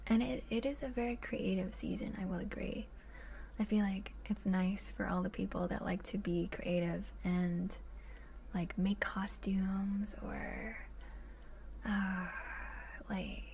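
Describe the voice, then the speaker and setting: A woman chatting casually in a soft, breathy voice that often drops to a whisper, in a gentle ASMR livestream style with hesitant musing. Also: mid-range voice, a little hiss or hum. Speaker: ASMR live streamer. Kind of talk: soft ASMR chat with viewers, much of it whispered.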